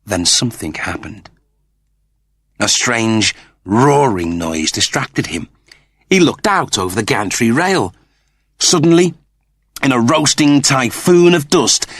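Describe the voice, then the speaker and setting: A man reading scripted fiction as an audiobook narrator, with animated, theatrical storytelling. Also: medium pitch, slow, slightly rough, no room sound.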